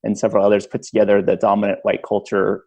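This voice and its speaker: A man's voice: fairly low pitch, monotone, quick pace, vocal fry, darkish tone, breathy edge. A man hosting a podcast who speaks thoughtfully and hesitantly, searching for his words. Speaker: podcast host. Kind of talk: conversational podcast interview.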